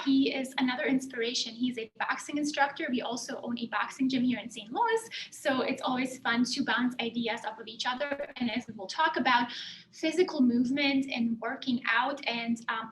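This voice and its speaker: High-voiced woman with Bosnian-German accent. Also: slight background noise. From Bosnia, raised in Germany. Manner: calm, reflective, many fillers. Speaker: career and life coach presenting. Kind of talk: workshop presentation. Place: classroom in a coworking space. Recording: echoey room.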